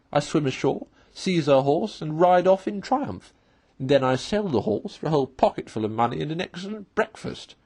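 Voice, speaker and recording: A man voicing a conceited, excitable toad from a storybook in a pompous, theatrical, emphatic manner. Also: mid-range voice.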